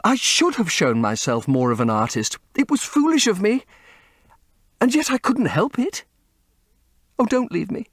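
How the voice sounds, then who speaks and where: A man's voice: high voice, big pitch swings, unhurried, pauses often, husky, no echo, clean studio sound, pitched above the performer's own voice, heavily acted. A man voicing a desperate young actress who pleads tearfully with her lover not to leave her. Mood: tearful pleading. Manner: tearful, breathless begging.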